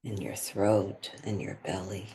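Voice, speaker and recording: A meditation guide with a soft, breathy voice, speaking gently and without hurry, leaving long pauses. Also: low-pitched, steady tone, a little hiss or hum.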